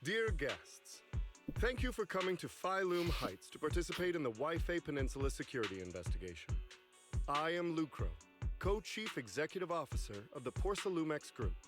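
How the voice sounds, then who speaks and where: A polished, ceremonious chief executive in a video game. His voice sits fairly high and swings broadly as he formally addresses guests. Music is clear behind him. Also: sing-song, moderate pace. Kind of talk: video game voice acting, public speech.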